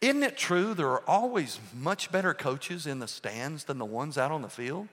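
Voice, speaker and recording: A pastor preaching a sermon, emphatic and full of rhetorical questions. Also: male voice, a little high, expressive, moderate pace, a bit bright. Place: church sanctuary. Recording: long reverb in a church hall.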